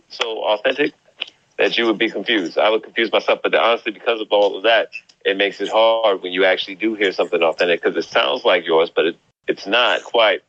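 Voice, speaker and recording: A man's voice: on the low side, a bit bright. A man in his twenties chatting casually and playfully with friends in a group conversation. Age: 20s. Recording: muffled lo-fi audio.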